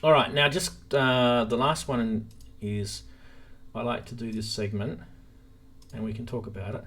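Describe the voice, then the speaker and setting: A man's voice: mid-range voice, fairly even intonation, a little slow, a bit bright. Casual, enthusiastic man hosting a live stream. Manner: informal, enthusiastic, addressing viewers directly. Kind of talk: casual live stream chat about synthesizers.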